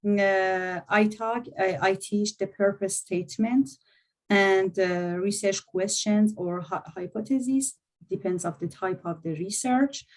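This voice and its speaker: A woman lecturer with non-native English, talking at an unhurried, deliberate pace as she walks through a course plan. She speaks at a middling pitch, pausing often and hunting for the next word. Her voice comes through a video-call microphone. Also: fairly even intonation. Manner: halting, searching for words, frequent hesitations. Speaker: university course instructor. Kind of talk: presenting a course overview in an online meeting.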